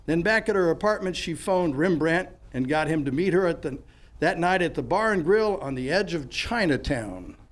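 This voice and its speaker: A man's voice: fairly high pitch, lively intonation, moderate pace, slight background noise. A man reading scripted narration and announcements in the style of an old-time radio show.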